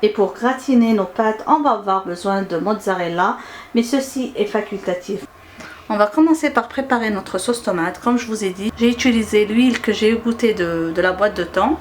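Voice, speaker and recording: A woman from Algeria whose first language is Arabic. She speaks French with an Algerian accent, hosts a cooking channel and walks through a recipe step by step in a gentle, hesitant way with many filler sounds. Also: mid-range voice, normal speed, a little hiss or hum.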